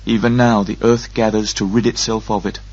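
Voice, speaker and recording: A man's voice: fairly low pitch, level tone, breathy edge, muffled recording. A man voicing a stern, controlled disembodied voice that speaks inside someone's mind. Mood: assuring. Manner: sharp, measured commands.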